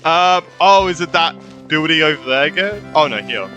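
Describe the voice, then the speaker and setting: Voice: mid-range voice, animated, normal speed, sharp tone. A gaming streamer giving casual, informal reaction commentary with muttered exclamations. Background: game music.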